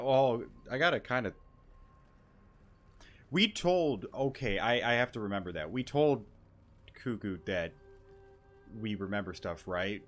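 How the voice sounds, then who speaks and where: A man giving casual, jokey commentary while playing a video game. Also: lowish voice. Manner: rambling, jokey and excitable. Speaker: gaming streamer.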